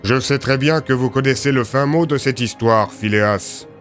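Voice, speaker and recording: A man's voice: medium pitch, normal speed, a bit bright, soft background music, close to an everyday voice. A man playing a stern lawman in an audio drama, dry, firm and formal, with a sarcastic, threatening edge. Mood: stern, warning.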